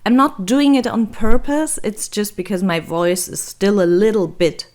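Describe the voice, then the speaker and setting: A young woman from Germany with a hoarse, raspy voice and vocal fry, chatting through a travel vlog voice-over in a rambling, self-deprecating way. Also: medium pitch, moderate pace, a little mellow. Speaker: travel vlogger. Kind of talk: voice-over narration.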